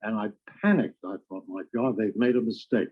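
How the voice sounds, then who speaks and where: A man's voice: fairly low pitch, moderate pace, husky. Older man reminiscing over muffled, narrow sound. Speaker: veteran radio actor. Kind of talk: reminiscing, anecdotal talk. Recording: muffled, narrow phone-like archive recording.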